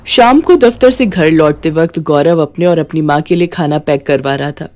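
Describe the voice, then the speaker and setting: A woman's voice: sits low, normal speed, darkish tone. A woman reading a scripted moral story as a storyteller.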